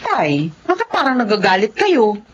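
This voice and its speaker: Lively, carefree Filipino mother. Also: medium pitch, moderate pace. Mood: defensive. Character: middle-aged widowed mother, carefree, evasive bingo gambler. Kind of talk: radio drama acting. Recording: dull lo-fi audio, clear background noise.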